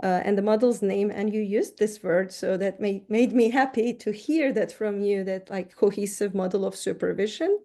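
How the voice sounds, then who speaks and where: A woman's voice: mid-range voice, normal speed, hardly any pauses, mellow. A middle-aged woman from Turkey, a counseling professor answering interview questions in a thoughtful way, often restarting words.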